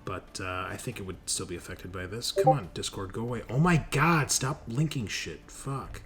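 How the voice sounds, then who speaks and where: A man's voice: lowish voice, expressive, normal speed. A man running a tabletop game as game master, informal and sweary, thinking aloud as he goes. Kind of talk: casual tabletop game refereeing. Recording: voice over constant music. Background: music.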